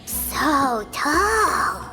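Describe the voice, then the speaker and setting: A woman voicing a small, excitable, cheeky fairy companion in a video game, with a squeaky childlike voice. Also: extremely slow, exaggerated voice. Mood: startled, apologetic. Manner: exclamatory, refers to self in third person. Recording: light music behind.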